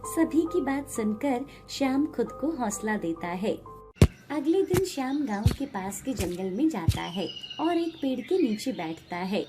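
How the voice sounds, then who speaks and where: A woman narrates an animated moral story from a script. Her voice is on the higher side, and music plays underneath. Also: unhurried.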